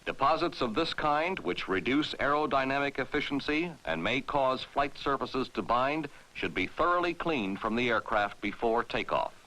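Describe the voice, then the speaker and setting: American man, crisp authoritative narrator, mid-pitched, animated intonation, scripted 1960s military training film, vintage soundtrack. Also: moderate pace, few pauses, poor audio. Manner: authoritative, clearly enunciated, formal.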